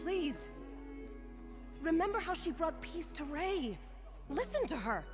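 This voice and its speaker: A woman's voice: very high voice, very animated, takes it slowly, over music, heavily acted. An actress playing an earnest, defiant tribeswoman in a video game, with a formal, impassioned way of speaking. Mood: pleading. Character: earnest, defiant young tribeswoman pleading with her elders. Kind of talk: dramatic video game acting.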